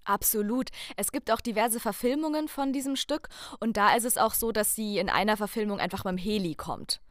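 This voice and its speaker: A woman's voice: medium pitch, a little fast, dry recording, studio quality. A woman co-hosting a casual podcast, talking playfully and theatrically and addressing listeners directly. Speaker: podcast co-host.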